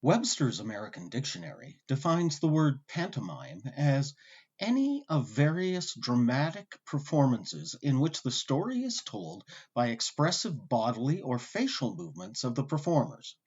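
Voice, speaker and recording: A man hosting with scripted documentary narration, delivered in a wry, articulate storyteller's way with deliberate emphasis. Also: medium pitch, huge pitch swings, a little mellow, breathy edge, dull audio. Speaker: host and narrator.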